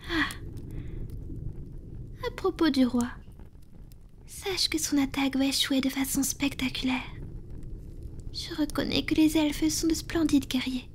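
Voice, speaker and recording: A woman voicing a tender, affectionate female vampire in a soft, breathy, intimate whisper for an ASMR roleplay. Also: high voice, a little slow, pauses often, slight background noise. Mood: calm, confident.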